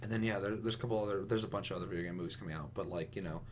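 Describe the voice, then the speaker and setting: Low-pitched, profane, opinionated man ranting. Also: very fast, a little hiss or hum. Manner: profane, emphatic, rambling. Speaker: podcast co-host. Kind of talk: casual gaming chat, opinionated ranting.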